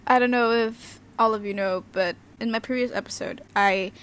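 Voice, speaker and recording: Young female host, Indonesian native speaker, giggly casual chat, slightly high voice. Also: fairly even intonation, moderate pace, fairly bright tone, slight background noise. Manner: chatty, giggly, many fillers and restarts. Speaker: channel host.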